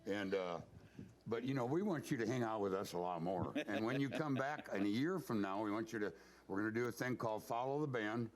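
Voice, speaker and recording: A male musician guest with a low voice, joking and teasing the host in casual banter. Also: a little fast. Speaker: musician guest, band leader.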